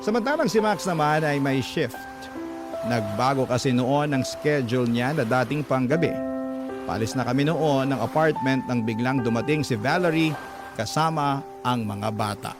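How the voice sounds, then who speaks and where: A Filipino man hosts a radio story show, reading out a letter as dramatic narration. His voice has a medium pitch and sits over a very prominent music bed. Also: animated, moderate pace.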